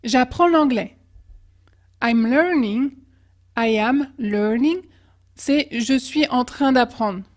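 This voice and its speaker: High-voiced woman teaching English slowly. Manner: slow, careful model pronunciation of example phrases. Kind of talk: language lesson with example phrases.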